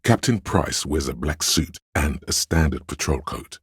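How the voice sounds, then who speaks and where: A man playing a grave, all-knowing inner voice of police camaraderie in a video game, narrating in a solemn, measured, literary way with a gravelly, husky tone. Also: rumbling low, lively intonation, fairly bright tone, no echo, clean studio sound, exaggerated voice. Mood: brooding, measured.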